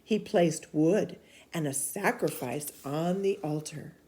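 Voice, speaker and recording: A woman reading a children's storybook aloud in an expressive, animated way, dropping to hushed asides. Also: sits low, slow. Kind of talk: read-aloud of a children's book.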